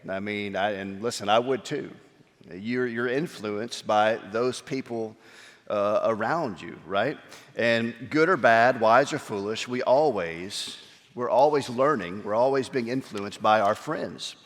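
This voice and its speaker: A man's voice: lowish voice, a little slow. Man preaching a conversational sermon in a reverberant hall. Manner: conversational, frequent fillers and restarts. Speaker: pastor. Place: church sanctuary.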